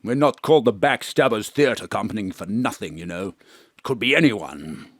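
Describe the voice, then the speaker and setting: An actor playing a pompous, self-important stage actor who slips into theatrical mock-Shakespearean ranting. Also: male voice, lowish voice, expressive, normal speed, a bit bright, no room sound, studio quality. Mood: grandiose. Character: pompous hammy old male stage actor. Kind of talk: audio drama acting. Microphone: home studio microphone.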